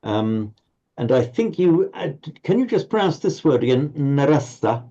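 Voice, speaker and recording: Man, language teacher, patient explaining, mellow tone, slightly dull audio. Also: mid-range voice, big pitch swings, unhurried. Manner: patient explaining, stumbling repetitions. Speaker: Pali teacher. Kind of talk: online language class.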